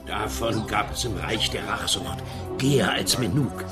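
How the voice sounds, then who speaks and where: An actor playing a bumbling storyteller in a comic radio play, deliberate and clumsy with words. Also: male voice, fairly low pitch, lightly acted. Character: bumbling male storyteller garbling his words. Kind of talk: scripted comic radio narration. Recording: music under the voice.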